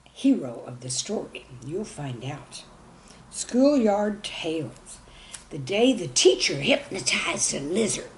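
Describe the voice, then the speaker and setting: Animated low-voiced woman storyteller. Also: slight background noise, poor audio. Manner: folksy, animated, addresses young listeners directly. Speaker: children's storyteller. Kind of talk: expressive storytelling for young children.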